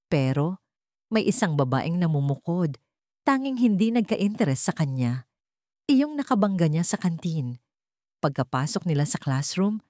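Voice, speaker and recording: A woman reads a dramatic story with very varied intonation. Her voice sits low for a woman, and the sound is dry, with hardly any room in it. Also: darkish tone, dry recording. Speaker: audiobook narrator. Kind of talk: scripted dramatic story narration.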